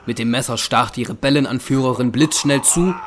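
A man's voice: mid-range voice, a little fast, noisy background. A man narrating a scripted audio drama in storytelling prose.

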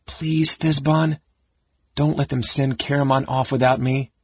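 A man voicing a small, excitable kender from a fantasy storybook, chattering in a breathless, rambling way. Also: unhurried, husky, dry recording, lo-fi sound. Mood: pleading. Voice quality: squeaky when transformed.

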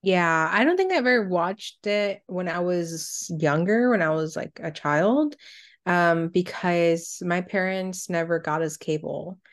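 A woman's voice: lowish voice, lively intonation, unhurried. A woman co-hosting a podcast, chatting casually and reacting her way through a countdown list. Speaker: podcast co-host.

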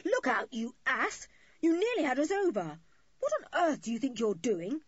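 A woman's voice: medium pitch, very animated, moderate pace, darkish tone, husky, no echo, muffled recording. A woman voicing a bold, defiant schoolboy who leads a group of children in a storybook, forthright and indignant. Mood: outraged.

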